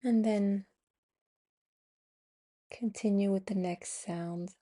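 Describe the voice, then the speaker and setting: Slow, mellow woman guiding meditation. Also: mid-range voice, fairly even intonation. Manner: soothing, unhurried instructions with long pauses. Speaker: meditation guide and podcast host. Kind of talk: guided yoga nidra meditation.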